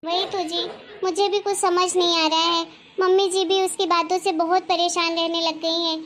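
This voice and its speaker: A woman voicing a worried, soft-hearted housewife and mother in a cartoon family drama, talking in a fretful, plaintive way. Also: extremely high pitch, little pitch movement, normal speed. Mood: worried, upset.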